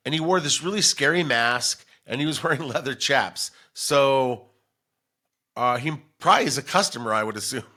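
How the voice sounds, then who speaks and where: A man's voice: mid-range voice, lively intonation, moderate pace, pushed and bright. A man co-hosting a casual comedic talk show, telling stories in a rambling way and acting out bits of dialogue. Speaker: podcast co-host.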